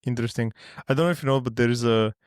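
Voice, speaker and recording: A man from Eastern Europe hosts a relaxed podcast chat at a middle pitch. He talks haltingly, stopping and restarting as he reaches for words. His voice sounds dry and close, with no room around it. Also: smooth, no room sound. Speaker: podcast host. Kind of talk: casual interview chat.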